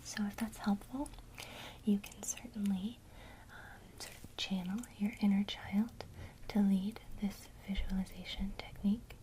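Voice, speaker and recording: A woman teaching witchcraft basics in a soft, encouraging ASMR whisper. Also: mid-range voice, fairly even intonation, unhurried, low-quality recording.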